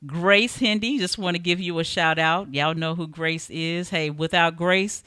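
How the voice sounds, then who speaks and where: A woman with a bright, smooth voice hosts a live talk show. She is chatty and informal, and the sound is close and dry. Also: on the low side, fairly even intonation, a little slow, no room sound. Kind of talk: casual live show hosting with shout-outs.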